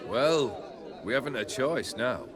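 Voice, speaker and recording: Jaded, lordly bandit chief, swinging pitch. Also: male voice, lively intonation, takes it slowly. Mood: wry, casual. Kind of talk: video game character acting. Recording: busy crowd behind the voice.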